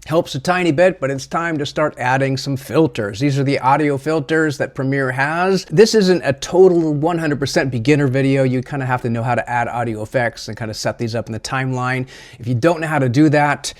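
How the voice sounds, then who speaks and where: A man presenting a software tutorial in a casual, chatty, slightly self-deprecating way. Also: mid-range voice, brisk.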